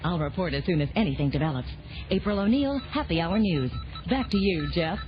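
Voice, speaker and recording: A woman's voice: fairly low pitch, animated, brisk, natural voice. A woman playing a plucky, wisecracking television news reporter in a children's audio drama. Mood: crisp, serious reporting. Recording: dull band-limited old audio drama recording. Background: loud dramatic music.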